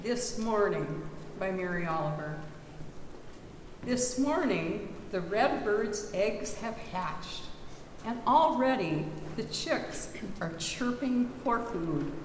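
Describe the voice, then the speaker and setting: Slow-speaking woman in a reverberant hall. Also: medium pitch, animated. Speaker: readers theater presenter and poetry reader. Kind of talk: introducing and reading poetry aloud to an audience. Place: reverberant church, live reading. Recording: roomy church hall, clear background noise.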